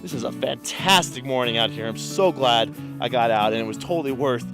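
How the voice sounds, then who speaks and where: A man photographing a calm mountain lake at dawn, explaining his camera settings in a relaxed way with many fillers while sounding congested from a cold. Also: mid-range voice, a little fast, music in the background. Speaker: landscape photographer. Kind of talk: casual on-location vlog.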